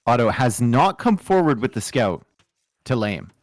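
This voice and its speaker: A man with a Canadian accent casting a strategy game, joking in relaxed banter. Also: medium pitch, unhurried, no echo. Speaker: game caster. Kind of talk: casual co-casting play-by-play.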